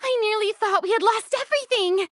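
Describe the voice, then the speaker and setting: A woman voicing a bossy, excitable young film director in a video game, with a theatrical, commanding delivery. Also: very high-pitched, fairly quick. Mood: elated.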